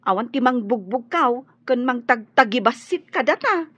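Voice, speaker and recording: A woman's voice: on the high side, lively intonation, normal speed, fairly bright tone, breathy edge, no room sound. An actress with an Ilocano accent playing a young, plaintive and loyal servant woman in a radio drama. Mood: earnest, persuasive. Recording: dull, dry lo-fi studio recording.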